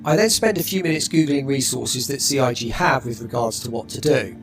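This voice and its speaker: A man narrating a game tutorial in a relaxed, explanatory way. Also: mid-range voice, brisk, faint music behind.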